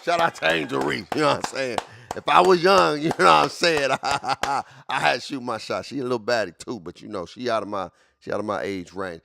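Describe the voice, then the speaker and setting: A man's voice: medium pitch, a little fast, vocal fry, pushed and bright, no echo. A man in his late forties streaming casually, repeating himself with hype and constantly talking to his chat. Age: 40s. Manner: repetitive, hyped, keeps addressing chat.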